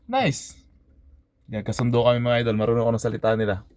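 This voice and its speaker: Excitable Filipino trucker vlogging outdoors. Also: male voice, medium pitch, fast talker, no echo, clean studio sound. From Philippines. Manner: friendly, excitable, mixes Tagalog and English. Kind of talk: casual vlog chat. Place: truck parking lot outdoors.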